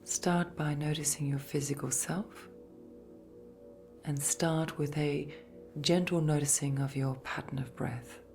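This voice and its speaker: A woman gently narrating a guided sleep meditation in a soft, breathy, soothing voice, with measured phrases and long pauses. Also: sits low, fairly even intonation. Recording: voice over background music. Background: meditation music.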